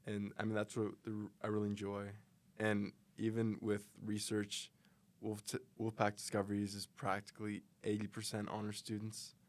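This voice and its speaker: Young man, college student, lowish voice, flat delivery, earnest interview answers. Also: moderate pace. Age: young adult.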